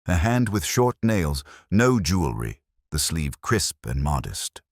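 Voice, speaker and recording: A man narrating a mystery story in a slow, hushed, deliberate way. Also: very deep voice, big pitch swings, dry recording, clean studio sound.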